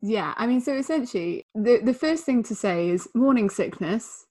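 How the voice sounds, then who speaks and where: A young woman co-hosting a podcast in casual chat, talking freely with quick asides into a studio microphone. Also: fairly high pitch, expressive, a little mellow. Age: young adult. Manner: chatty, laughs easily, quick asides. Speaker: podcast co-host.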